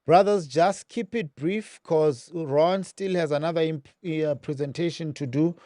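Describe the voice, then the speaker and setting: A man hosts a live online call-in, keeping things moving and calling on each speaker in turn. His voice sits a little high and sounds dry. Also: warm tone, no room sound. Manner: brisk, directing callers. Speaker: host. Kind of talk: moderating a live call-in stream.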